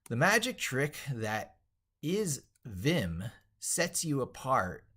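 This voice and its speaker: A man's voice: medium pitch, big pitch swings, slow, a bit bright, breathy edge. A man hosting a casual tech livestream, rambling and thinking aloud as he works through things on screen and reads text out. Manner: rambling, thinking aloud while working at computer. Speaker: livestream host, tech educator.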